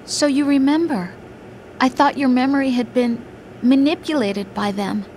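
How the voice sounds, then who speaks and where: A woman's voice: high voice, normal speed, darkish tone, slight background noise, lightly acted. A woman voicing a caring young woman, the soldier's partner, in a video game cutscene, speaking in a worried, pleading way. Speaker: game character. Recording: game audio with faint music.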